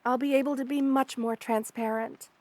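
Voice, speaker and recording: A woman voicing a kind, protective spider queen in an ASMR roleplay, speaking intimately and soothingly to the listener with hesitant pauses and formal wording. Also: high-pitched, steady tone, darkish tone, lightly acted. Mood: gentle, apologetic.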